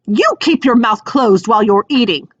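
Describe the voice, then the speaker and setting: A woman's voice: fairly high pitch, expressive, moderate pace, no room sound, muffled recording. A woman voicing a bustling mother witch in a storybook, fussing and bossy but fond. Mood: snappish.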